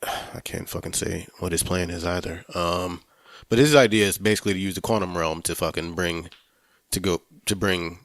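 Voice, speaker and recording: A man hosting a casual film podcast, talking in a halting way, searching for words and swearing freely. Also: low-pitched, fairly even intonation, no echo. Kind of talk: casual movie review chat.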